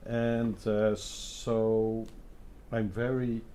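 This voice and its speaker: Low mellow man, candid and musing. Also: little pitch movement, extremely slow, slight background noise. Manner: candid, musing, checks in with "no?" and "huh?". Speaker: designer on a talk panel. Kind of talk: casual panel talk. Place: event venue in front of an audience.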